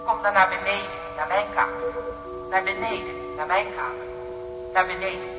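A woman's voice: medium pitch, moderate pace, over music, natural voice. An actress in a radio drama playing a kindly, fussing, chatty landlady. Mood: motherly, chatty.

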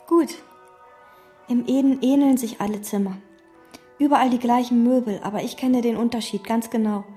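A withdrawn, obsessive hotel maid in a radio drama. Her voice sits a little high but sounds soft and mellow. She talks calmly, in terse, flat sentences. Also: normal speed, husky, soft background music. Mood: detached. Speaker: chambermaid. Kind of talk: radio play, inner monologue and dialogue.

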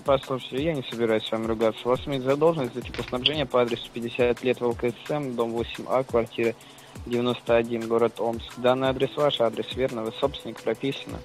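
A man debt collector talks quickly and formally. He sticks to a firm script and does not rise to provocation. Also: medium pitch, fairly even intonation, over music. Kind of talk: phone call.

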